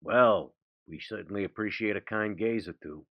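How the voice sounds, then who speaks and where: A man's voice: fairly low pitch, normal speed, no room sound. A man playing a tabletop role-playing game, speaking casually in and around his character, on a dull-sounding microphone. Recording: dull, band-limited close microphone.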